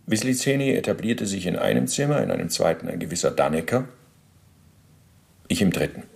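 A former officer testifying in a radio play, his voice low and full of fry. He recounts things precisely and bureaucratically, in a matter-of-fact tone that justifies himself. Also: very animated, fairly quick, darkish tone, heavily acted. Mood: matter-of-fact, self-justifying.

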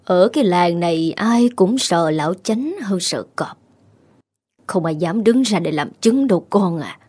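A woman's voice: fairly low pitch, lively intonation, unhurried, a little mellow, husky. A woman voicing a grieving, vengeful old village mother in a horror story, cold and bitter. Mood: cold menace.

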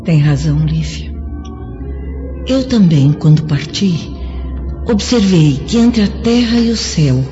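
A woman's voice: lowish voice, mellow. A woman playing a serene, devout noble spirit in a solemn, lyrical, declamatory radio drama. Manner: lyrical, declamatory, drawn-out phrasing. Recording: muffled old radio drama with music.

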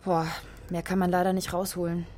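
An actress playing a matter-of-fact, inquisitive friend in a naturalistic radio drama, with faint background noise. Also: female voice, on the low side, completely flat delivery, brisk, darkish tone, lightly acted. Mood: focused, curious.

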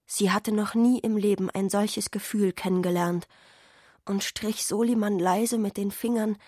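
A woman's voice: medium pitch, a little mellow, slightly rough, dry recording, studio quality. A woman reads literary narration for a radio play. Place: dry radio studio.

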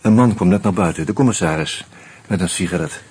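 An actor playing an uneasy murder suspect in a radio play, musing and hesitant, with a wry streak. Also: male voice, deep voice, a little fast, a little mellow, slightly rough. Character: uneasy male murder suspect narrating his own investigation. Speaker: actor and first-person narrator. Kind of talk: Dutch radio play, reflective narration and dialogue.

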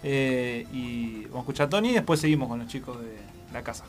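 Rambling Argentine radio host, music behind. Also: male voice, medium pitch, quick pace. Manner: rambling, many fillers like "este". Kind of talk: casual live radio hosting.

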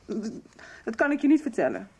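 A woman's voice: fairly high pitch, very animated, fairly quick, mellow, husky, exaggerated voice. A young woman acting an upset, accusing teenage daughter in a radio soap. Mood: sullen, bitter. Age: young adult.

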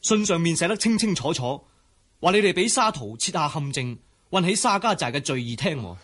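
An actor playing a friendly outlaw leader in a Cantonese radio drama, with a jovial storytelling manner. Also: male voice, on the high side, lively intonation, a little fast, fairly bright tone. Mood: explanatory. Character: hearty, friendly male mountain-fort outlaw leader.

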